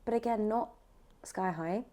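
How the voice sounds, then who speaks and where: A woman's voice: mid-range voice, measured pace, dark tone. A woman speaking casually as an interview guest, emphatic and often rephrasing as she goes. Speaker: voice actor, audiobook narrator, podcast guest.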